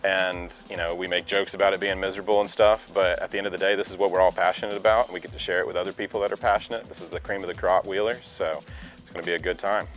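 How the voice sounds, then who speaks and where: A man's voice: deep voice, fairly even intonation, rapid-fire, fairly bright tone, soft background music. A man in a casual interview, speaking earnestly about being part of an off-road challenge. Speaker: off-road competitor.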